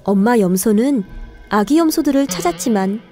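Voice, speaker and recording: A woman's voice: a little high, lively intonation, normal speed, dark tone, faint music behind. A woman narrating a scripted children's fairy tale in a careful storytelling manner.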